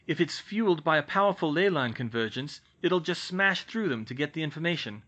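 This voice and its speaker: A man voicing a calm, knowledgeable young wizard. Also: fairly high pitch, a little fast, lo-fi sound, voice pushed up. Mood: explaining. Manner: steady, explanatory.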